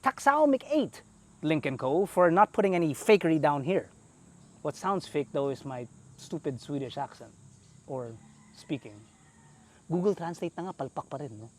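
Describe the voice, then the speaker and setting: A man's voice: on the high side, animated, moderate pace, darkish tone. A man with a Filipino English accent hosting a car review walkaround, chatty and playful with enthusiastic asides.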